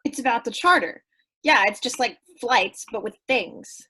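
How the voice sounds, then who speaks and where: An actress in a staged play reading, playing an eager, dim, impatient recruit who talks in slangy, insistent bursts. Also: female voice, fairly high pitch, expressive, moderate pace, pushed and bright, slight background noise.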